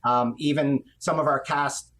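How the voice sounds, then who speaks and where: A man's voice: medium pitch, steady tone, measured pace, fairly bright tone. A middle-aged man, an animation director, explaining his work conversationally in an interview and acting out little quotes as he goes. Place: indoor room on a video call.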